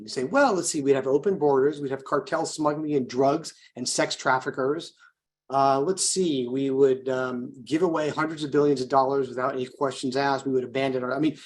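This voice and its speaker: A man's voice: medium pitch, a little mellow. American man talking politics conversationally. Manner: anecdotal, poses rhetorical questions and acts out dialogue. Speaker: co-host, political activist.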